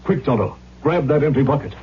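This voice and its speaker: Alert western adventure figure, played by a male actor, clipped lines, animated pitch, muffled vintage radio sound. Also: fairly quick, close to an everyday voice. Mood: urgent. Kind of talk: old western radio serial acting. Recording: dull, noisy vintage radio recording.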